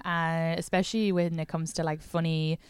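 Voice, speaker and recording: A woman with an Irish accent hosting a casual, comedic podcast chat, telling stories with quick tangents and asides. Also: low voice, level tone, smooth, no room sound, clean studio sound. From Ireland. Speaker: podcast host.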